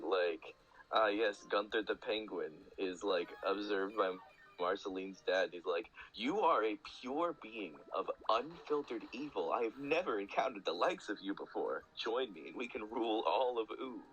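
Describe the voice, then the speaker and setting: A man with a fairly low voice talks as a guest in a relaxed podcast conversation. He explains things eagerly and loosely, peppering his speech with "like". Faint music plays behind him. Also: normal speed, dull audio. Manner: rambling, enthusiastic explaining with frequent "like". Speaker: guest speaker. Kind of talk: casual podcast chat.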